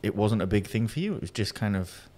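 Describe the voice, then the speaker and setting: Low-voiced easygoing male co-host. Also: expressive, fast, a little mellow. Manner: brief, easygoing interjections. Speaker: podcast co-host. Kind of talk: casual interview chat.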